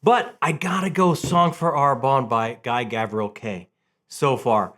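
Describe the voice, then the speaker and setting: A man hosting a casual, enthusiastic book vlog, talking in an animated way with halting restarts and repeated words. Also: mid-range voice.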